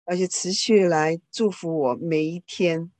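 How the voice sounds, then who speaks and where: A woman interpreting a speaker's talk into another language live, quickly and informally, often correcting herself. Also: lowish voice, steady tone, darkish tone, slight background noise.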